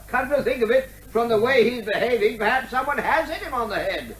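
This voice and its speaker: An actor playing a dotty, stubborn eccentric, blandly polite and evasive, in a radio comedy drama. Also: male voice, very high-pitched, animated, moderate pace, a bit bright. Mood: huffy, self-satisfied. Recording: echoey room with faint background noise.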